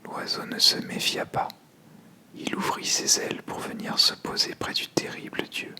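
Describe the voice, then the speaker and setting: A storyteller narrating a scripted fable softly and deliberately in an ASMR style.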